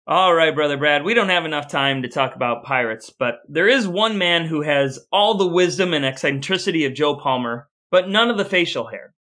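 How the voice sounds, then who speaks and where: A man with a bright, forward voice that sits a little high. He presents a chatty, partly scripted podcast as its co-host. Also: animated, normal speed, hardly any pauses.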